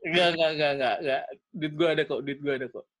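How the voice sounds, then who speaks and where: A man's voice: on the high side, fairly even intonation, quick pace, very noisy. A man with a Jakarta Indonesian accent chatting casually, as in a phone call between friends. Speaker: podcast host.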